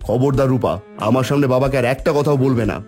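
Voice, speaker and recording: A man voicing an upright, respectful young city son-in-law in a cartoon, speaking in an earnest, expressive way. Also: mid-range voice, quick pace, lightly acted. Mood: indignant, resolute. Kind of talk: cartoon moral-story voice acting. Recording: music faintly behind the voice.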